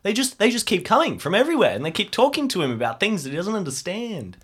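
A man's voice: high-pitched, very animated, fast, fairly bright tone, husky. A man talking casually as a cast member of an audio drama podcast, joking and improvising a story.

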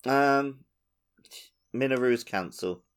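A man's voice: mid-range voice, takes it slowly, clean tone. A man co-hosting a podcast, chatting casually and telling little stories in a playful, jokey way. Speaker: podcast co-host. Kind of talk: casual chat, telling anecdotes.

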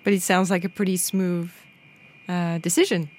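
A woman's voice: on the low side, flat delivery, normal speed, darkish tone. A woman from France hosting a podcast in a radio studio, leading the chat with a hesitant, filler-heavy delivery. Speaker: podcast host.

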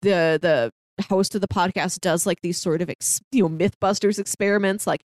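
A woman's voice: on the low side, steady tone, normal speed, darkish tone, no room sound. Chatty woman co-hosting a podcast, dry close sound. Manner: chatty, conversational, heavy use of "like". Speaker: podcast co-host giving recommendations. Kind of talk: casual film discussion chat.

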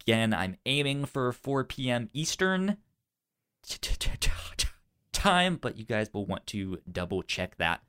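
A man co-hosting a casual movie podcast, speaking in a halting way with stammered, repeated syllables. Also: mid-range voice, sing-song, pushed and bright, clear, resonant tone. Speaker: podcast co-host.

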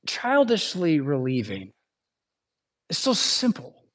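A man's voice: a little high, wildly swooping pitch, takes it slowly. A male pastor delivers a sermon in a church. His tone is conversational and unpolished, with asides and restarts. He keeps checking in with the congregation as he explains. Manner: conversational, frequent asides and "right?" tags.

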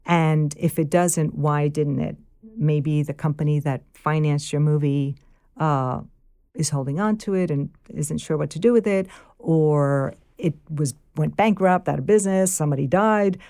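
A woman's voice: sits low, unhurried, warm tone. A woman with a New York accent, talking as a filmmaker guest in a casual interview, rambling with many restarts.

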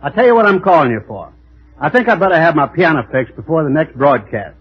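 A man playing a grumbling, deadpan piano player with a husky voice in a mid-century radio comedy. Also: a little high, fast talker. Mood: dry complaint. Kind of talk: 1940s-50s radio comedy acting. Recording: dull old radio recording.

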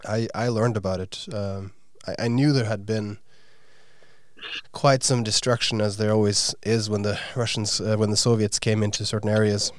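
A man interviewing a guest, speaking earnestly with frequent pauses and fillers. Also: fairly low pitch, fairly even intonation, no room sound. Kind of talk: conversational interview.

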